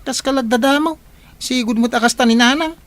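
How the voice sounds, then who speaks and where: Woman doing a nosy village lady asking questions, chatty, bit high, ilocano accent, radio comedy. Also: brisk, close to an everyday voice. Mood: gossipy.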